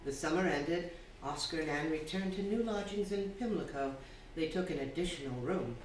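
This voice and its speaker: A man acting as a wry, knowing narrator, giving measured dramatic narration for a staged radio play. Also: a little high, recorded from a distance, loud music behind the voice, lightly acted. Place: theatre hall, distant microphone. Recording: very echoey, noisy stage recording.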